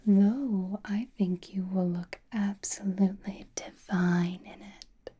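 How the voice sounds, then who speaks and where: A woman voicing a doting, teasing vampire in a breathy ASMR whisper, coy and theatrical, with lingering phrasing. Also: medium pitch, fairly even intonation, takes it slowly, mellow. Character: flirtatious, doting, teasing female vampire companion.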